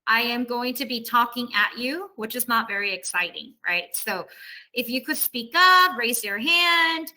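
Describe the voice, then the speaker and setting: Woman, online teacher, higher pitch, forceful bright tone, conversational, explanatory, frequent check-ins. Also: expressive, unhurried, rough recording. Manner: explanatory, often seeking agreement with "right?". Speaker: professor teaching an online class. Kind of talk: casual, conversational lesson to students. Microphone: video call.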